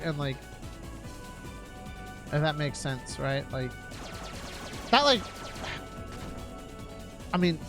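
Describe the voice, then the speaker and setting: A young man with a slightly high voice and a stuffy nose, rambling thoughtfully with constant fillers and restarts. Loud game music plays behind him as he talks into a desk mic. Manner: halting, filler-heavy, reflective. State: congested nose. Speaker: streamer. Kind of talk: casual rambling stream chat.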